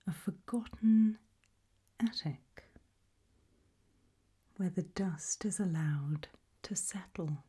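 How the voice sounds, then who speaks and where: A British woman doing an ASMR roleplay in a breathy whisper, putting soft questions to the listener with deliberate pauses. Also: sits low. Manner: slow, deliberate, with long pauses.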